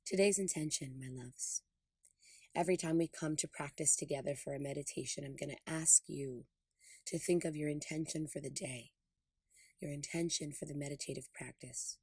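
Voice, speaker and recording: Low, husky woman guiding a meditation. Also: moderate pace, darkish tone, no room sound. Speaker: podcast host and meditation guide. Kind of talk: guided meditation.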